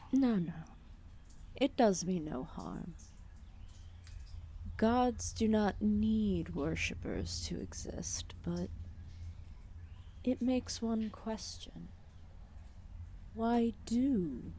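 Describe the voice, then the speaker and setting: A woman playing a calm, solemn, ancient serpent deity in an ASMR roleplay, speaking softly and formally with deliberate pauses. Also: mid-range voice, slight background noise. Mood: musing. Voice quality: soft, breathy, often dropping into whispers. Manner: slow, deliberate, formal phrasing with long pauses.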